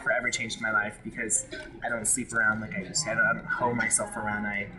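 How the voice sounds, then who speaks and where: A man's voice: lowish voice, little pitch movement, quick pace, rough recording. A man from Hawaii telling his personal story in an interview, frank and emotional, often restarting his sentences. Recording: loud background music.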